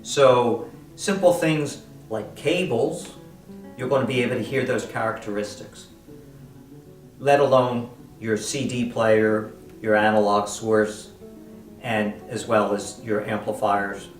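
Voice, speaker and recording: A man giving a spoken product review as an equipment reviewer, with measured, deliberate phrasing. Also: lowish voice, steady tone. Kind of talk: spoken product review to camera, semi-scripted. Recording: roomy echo, distant from the mic. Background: quiet plucked guitar or lute music.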